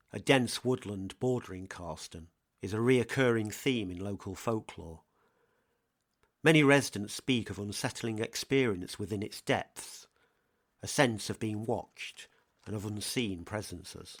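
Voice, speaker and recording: A man narrating a scripted true-crime mystery in a measured, dramatic, portentous manner. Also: lowish voice. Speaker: narrator, host.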